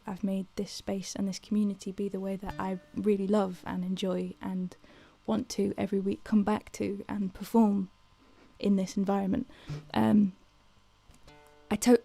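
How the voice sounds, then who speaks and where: A woman talks casually to her live audience in a soft, unhurried voice. A gravelly fry runs under her words, and she pauses often with fillers. The sound is close and dry, with no room around it. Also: mid-range voice, no room sound. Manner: soft-spoken and hesitant, with many fillers. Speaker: livestream host and singer. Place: home livestream in the hills.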